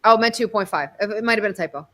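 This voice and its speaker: A woman physician from New York state, hosting a casual livestream over a video call, chatty and rambling with quick bursts of excitement. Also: mid-range voice. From New York state, USA.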